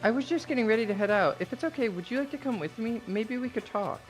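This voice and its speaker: A woman's voice: a little high, expressive, fast talker, soft background music, natural voice, poor audio. A woman reading visual novel dialogue aloud as a friendly, earnest teenage schoolgirl.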